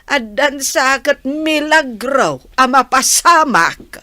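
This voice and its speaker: An actress playing a pushy, pleading village mother with an Ilocano accent in a radio comedy-drama, full of drawn-out exclamations. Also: high-pitched, lively intonation, pushed and bright, no room sound, heavily acted. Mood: nosy, surprised.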